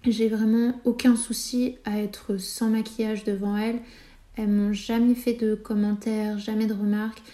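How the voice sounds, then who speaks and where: A woman's voice: medium pitch, dark tone. A woman in her twenties from France sharing a personal story candidly, hesitantly searching for words as she reflects. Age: 20s. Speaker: guest sharing personal testimony.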